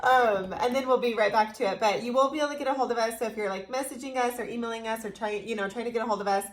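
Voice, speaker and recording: A woman's voice: a little high, racing. An American woman in her thirties chatting casually and playfully with her partner as co-host of a fan video. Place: indoors in front of a logo backdrop.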